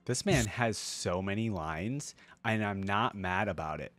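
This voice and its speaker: A man's voice: lowish voice, lively intonation, unhurried, a bit bright, dry recording. A man giving casual, off-the-cuff commentary as the host of a reaction video, often repeating his words.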